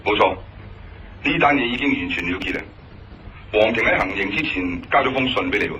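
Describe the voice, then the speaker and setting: An actor playing a steady, authoritative male police colonel in a Cantonese audio drama, with a Hong Kong accent and an official manner. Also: lowish voice, brisk, noisy background, close to an everyday voice. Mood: brisk, official. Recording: muffled radio drama, loud background music.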